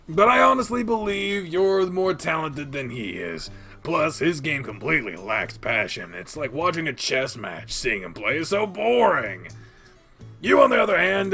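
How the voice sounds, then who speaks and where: A man playing a childishly excitable middle-aged dad who gushes and stammers as a fan. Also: on the high side, vocal fry, voice pushed up, full character voice. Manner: breathless gushing, stammering with excitement.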